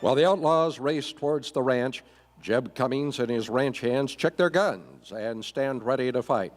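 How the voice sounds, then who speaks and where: A man's voice: mid-range voice, a little slow, soft background music. A man giving dramatic scripted narration for a live Western radio play. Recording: echoey hall, live stage performance.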